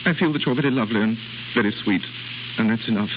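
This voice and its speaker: An actor playing an earnest, lovestruck young English nobleman, halting and intense, in 1930s radio drama style. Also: on the low side, natural voice. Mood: ardent. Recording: muffled, noisy 1930s radio recording.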